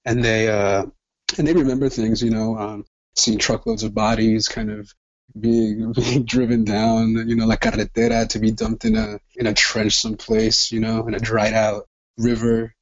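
A man's voice: on the low side, little pitch movement, moderate pace, highs cut off. A man in his twenties, born in El Salvador and raised in Los Angeles, speaking as a guest in a thoughtful, reflective way with frequent restarts. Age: 20s. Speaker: guest, doctoral student. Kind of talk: conversational interview.

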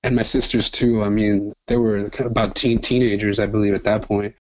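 A man's voice: fairly low pitch, flat delivery, normal speed, muffled recording. A man in his twenties, born in El Salvador and raised in Los Angeles, speaking as a guest in a thoughtful, reflective way with frequent restarts. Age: 20s. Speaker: guest, doctoral student. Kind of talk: conversational interview.

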